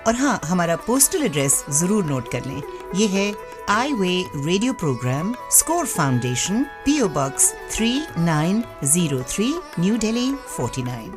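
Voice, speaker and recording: A woman with an Indian accent co-hosting a radio magazine show in a conversational way, with expressive, emphatic phrasing. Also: lowish voice, measured pace, music in the background.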